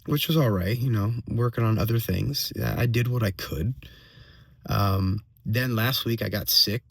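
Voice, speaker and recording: A man with a slightly hoarse voice giving a casual personal update as a vlogger, venting in a halting way, repeating phrases and checking in with tag questions. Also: lowish voice, no echo, clean studio sound. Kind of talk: casual solo monologue, venting.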